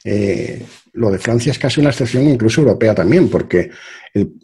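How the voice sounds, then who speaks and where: A man's voice: on the low side, animated, moderate pace, mellow, breathy edge. An older man hosting an interview over a webcam, speaking in a reflective, conversational way and often closing his points with a questioning tag. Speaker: host, interviewer. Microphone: video call webcam.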